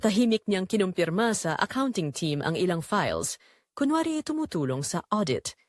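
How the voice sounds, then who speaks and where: A woman with a Filipino accent narrates a scripted story dramatically, in measured, suspenseful short phrases. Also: on the low side, very animated, dry recording, studio quality.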